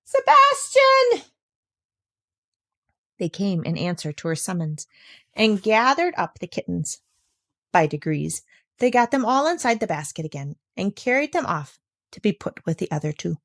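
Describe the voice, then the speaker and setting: A woman narrating a children's story as an audiobook reader, in an expressive style. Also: fairly low pitch, normal speed, dry recording, clean studio sound.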